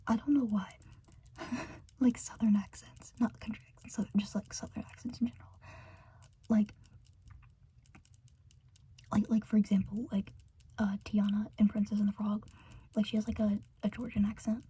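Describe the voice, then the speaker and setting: American woman, whispered ASMR rambler; mellow, soft, meandering chatter. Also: medium pitch, little pitch movement, normal speed, pauses often, husky. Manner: meandering, filler-heavy, giggly chatter. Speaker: ASMR creator.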